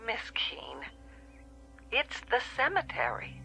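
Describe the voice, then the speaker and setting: A woman's voice: on the high side, huge pitch swings, slow, gravelly, sharp tone, exaggerated voice, low-quality recording. An actress playing a firm, matter-of-fact woman from the telephone company in a radio drama. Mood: firm, apologetic.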